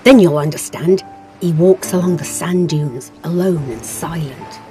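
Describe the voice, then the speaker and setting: A woman's voice: low-pitched, measured pace, dark tone, soft background music. A woman playing an earnest, superstitious villager in an audio drama. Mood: insistent, spooked.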